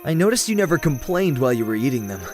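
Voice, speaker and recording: A man's voice: mid-range voice, very animated, fast, natural voice. Young prince played by a man for audio drama: casual, hesitant, self-doubting, medium register, light music underneath. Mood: dry, casual. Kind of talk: audio drama acting and first-person narration.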